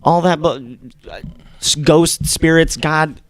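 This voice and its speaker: A man's voice: fairly high pitch, fairly even intonation, slow, clear, resonant tone. A man playing an irritated, skeptical male science student, blunt and exasperated in an improvised comedy interview. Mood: defensive, irritated.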